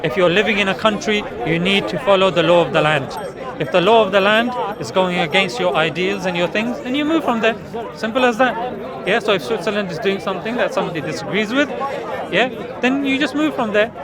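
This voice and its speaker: A man's voice: high voice, fairly quick. A man, patiently explaining his beliefs step by step in a debate with visitors in a busy outdoor park. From England. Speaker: street preacher debating visitors. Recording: loud crowd noise outdoors.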